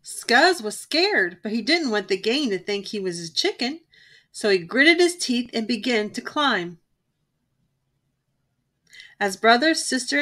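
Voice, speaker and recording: Woman reading a kids book out loud, lively, bright highish voice. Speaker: children's book reader. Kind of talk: read-aloud storytime narration.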